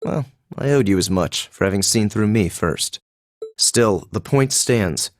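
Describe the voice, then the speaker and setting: Low-voiced, haughty young nobleman. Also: animated, no room sound, studio quality. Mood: firm, reproving. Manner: formal, measured, aloof diction. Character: haughty, perceptive young nobleman student, cool and composed. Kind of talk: English video game voice acting.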